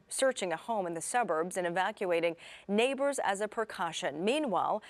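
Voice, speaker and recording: A woman's voice: lowish voice, no echo. A woman with an American accent reading scripted television news as an anchor. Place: TV studio.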